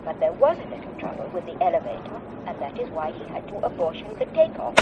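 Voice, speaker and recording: A man's voice: a little high, wildly swooping pitch, fast talker. A man playing an earnest foreign news reporter on a taped bulletin, speaking emphatically in slightly broken English. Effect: played back from a cassette-like tape. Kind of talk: radio drama news report. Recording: lousy, noisy, tinny taped broadcast.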